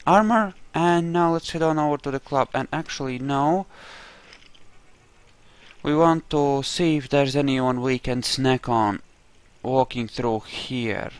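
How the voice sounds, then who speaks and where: A man's voice: mid-range voice, clear, resonant tone, no room sound. A man giving casual game commentary, chatty and thinking aloud as he plays. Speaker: gamer narrating a playthrough.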